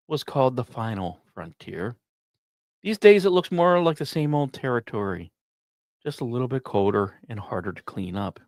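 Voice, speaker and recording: A man's voice: mid-range voice, no room sound, studio quality. A man hosting a podcast, reading scripted science commentary with emphatic, dramatic phrasing and informal asides. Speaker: podcast host.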